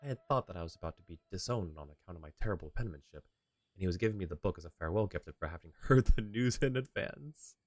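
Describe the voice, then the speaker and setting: A man's voice: deep voice, lively intonation, fast. A man voicing a polite, talkative young swordsman from a game, with a courtly and lightly playful manner. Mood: chatty, earnest. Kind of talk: reading game dialogue in character. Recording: game music behind.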